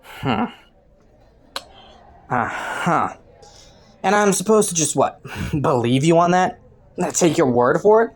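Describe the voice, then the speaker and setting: A slightly low-pitched woman voice actor as a criminal from the underworld, flirting with and taunting a detective. The delivery is a playful, teasing drawl, in the style of an intimate roleplay audio drama. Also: animated, takes it slowly, pauses often, full character voice. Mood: flirty, sly. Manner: playful, teasing drawl with frequent soft chuckles.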